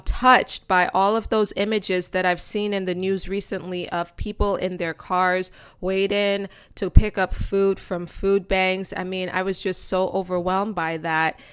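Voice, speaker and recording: A woman with a Jamaican accent talks viewers through a slide presentation in a relaxed, chatty way, calling them friends and guys and filling pauses with ums. Her voice sits low and sounds smooth and resonant. The recording is close and dry, with no room sound. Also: dry recording. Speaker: host of a channel explaining news to viewers. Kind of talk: casual explainer presentation over slides.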